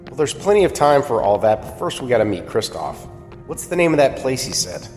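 A man's voice: medium pitch, very animated, a little fast, darkish tone, long reverb tail, faint music behind, close to an everyday voice. An actor playing a wry, awestruck man newly arrived in a strange city, in an audio drama with a casual, wisecracking manner. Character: awestruck, wry, rough-edged male newcomer to an undersea city.

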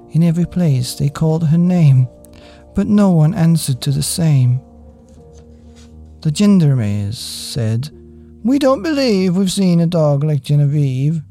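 A woman reading a rhyming children's storybook as a podcast storyteller, expressive and measured. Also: low voice, gravelly. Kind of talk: scripted storybook narration in rhyme.

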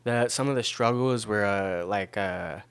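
A young American man telling his own story in casual conversation, haltingly, repeating phrases and often checking in with the listener. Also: lowish voice, clean tone. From Long Beach, California, United States. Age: young adult. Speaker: podcast guest.

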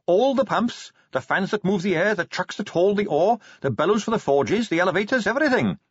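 A man's voice: high voice, animated, a little fast, breathy edge, dry recording, voice pushed up. A man voicing an earnest, polite young captain of the watch who explains things eagerly. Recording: slightly dull, dry studio read.

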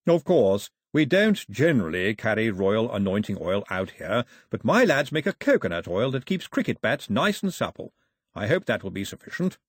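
A man's voice: fairly low pitch, sing-song, moderate pace, breathy edge, no room sound, studio quality. A man voicing a plump, jovial, blustering upper-class bishop in an audiobook, hearty and bumbling. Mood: brisk. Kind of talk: audiobook character voice.

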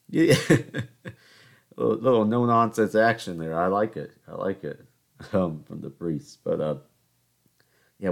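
A man's voice: low voice, darkish tone. A young man in his twenties from the American South with a New York-tinged accent, hosting a solo podcast and telling rambling, joking stories about his week. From Charleston, South Carolina. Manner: rambling, joking, self-mocking. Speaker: podcast host. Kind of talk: casual solo podcast storytelling.

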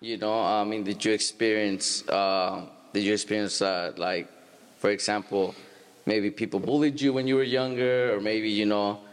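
A man in his thirties from Stockton, California, hosting a church podcast interview in a halting, casual way with many restarts. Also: on the low side, fairly even intonation, smooth. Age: 30s. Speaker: podcast host. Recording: long hall reverb.

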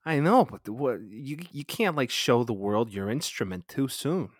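A man's voice: medium pitch, very animated, moderate pace, no room sound, clean studio sound. An American man co-hosting a casual sports podcast, chatting with a profane, teasing edge. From Chicago, United States.

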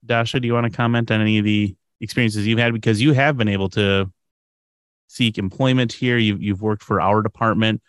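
A man hosting a podcast who asks guests questions in a halting way, often repeating words as he starts a sentence. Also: lowish voice, fairly even intonation, clear, resonant tone, no room sound. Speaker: host. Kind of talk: interview questions.

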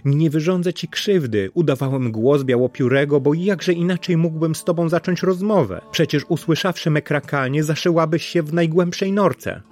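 A man's voice: a little high, normal speed, few pauses, a little mellow, pitched above the performer's own voice, lightly acted. A man voicing an old rook from a storybook, pleading earnestly and formally. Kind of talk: storybook voice acting.

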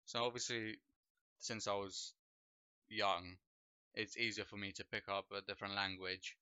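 A man's voice: lowish voice, normal speed, clear, resonant tone, no room sound. A young man from Poland, whose first language is Polish, chatting loosely on his livestream and padding his talk with "like" and "bro". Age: young adult. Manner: relaxed, rambling, heavy with fillers like "like" and "bro". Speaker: streamer and podcast host. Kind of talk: casual chat on a livestream. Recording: dull lo-fi home mic sound.

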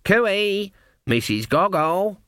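A man voicing a sharp, stubborn old female witch with rustic, plain-spoken speech in a storybook reading. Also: on the high side, lively intonation, normal speed, dry recording, clean studio sound. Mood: stern, firm.

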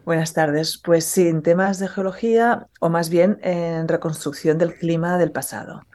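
A woman from Catalonia talks as a guest about her work, steady and modest. Her voice sits slightly low. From Catalonia, Spain. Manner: measured, explanatory, modest. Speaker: guest, geology professor. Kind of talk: interview answers.

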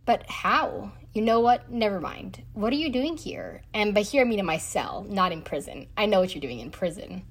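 Woman acting an animated, teasing young woman. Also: mid-range voice, normal speed, hardly any pauses, slightly rough. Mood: surprised, brisk. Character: outspoken, affectionate, teasing young woman in prison. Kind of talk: audio drama acting.

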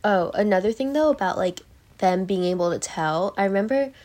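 A woman's voice: mid-range voice, expressive, brisk, darkish tone. A teenage girl being interviewed as a podcast guest, rambling with many fillers and telling stories animatedly. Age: teens. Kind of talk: casual chat.

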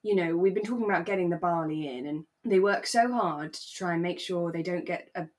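A British woman with a slightly low voice co-hosts a podcast. She talks in a relaxed, conversational style, as in an informal discussion between two people. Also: a little fast. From England. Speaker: podcast co-host. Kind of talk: relaxed chat discussing a radio drama.

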